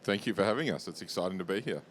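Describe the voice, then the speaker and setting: A man's voice: animated, rapid-fire. An Australian man answering as a company executive in a business interview, matter-of-fact and explanatory. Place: interview lounge at a trade show. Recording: low rumbling background noise.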